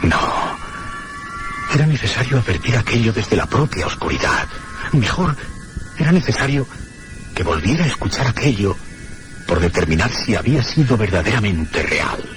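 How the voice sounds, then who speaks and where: An actor playing a frightened man who recounts a night of eerie terror in a suspenseful, theatrical radio drama narration with deliberate pauses. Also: lowish voice, very animated, music in the background. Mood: uneasy, haunted.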